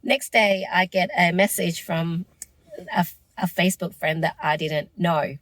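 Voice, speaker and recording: A woman's voice: on the low side, fairly even intonation, unhurried, fairly bright tone, no room sound. A woman hosting her own podcast, losing her voice, speaking in an earnest, reflective and encouraging way. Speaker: podcast host. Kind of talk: solo podcast monologue.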